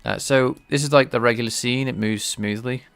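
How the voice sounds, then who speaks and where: A man's voice: medium pitch, fairly even intonation, a little fast, gravelly, a bit bright, smooth, no room sound, soft background music. A man thinking aloud while live-coding and explaining his game engine, hesitant and full of restarts, sounding a bit stuffed-up and nasal from a cold. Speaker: game developer streaming his work. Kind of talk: casual live-coding commentary.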